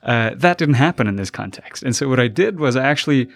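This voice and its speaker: A young man from Afghanistan thoughtfully telling stories about his college years, pausing to search for words and growing animated when he retells a scene. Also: medium pitch, vocal fry, no echo. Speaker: interviewee, college alumnus. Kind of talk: reflective personal storytelling.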